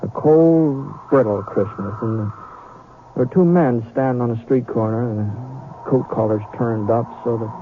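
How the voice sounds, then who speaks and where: An actor playing a homespun western storyteller, narrating a radio drama in a halting, conversational way. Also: male voice, mid-range voice, natural voice. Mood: folksy, wry. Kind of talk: old-time radio storytelling. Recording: tinny radio sound with crowd noise.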